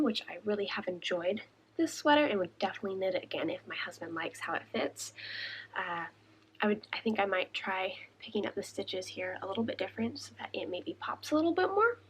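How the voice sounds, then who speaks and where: A young woman hosting a video podcast, chatting casually with lots of ums, a bit raspy and congested. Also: medium pitch, a little hiss or hum, low-quality recording. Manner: chatty, with many ums and uhs. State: congested, a little raspy from smoke. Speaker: podcast host and shepherd. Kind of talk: casual chat to camera.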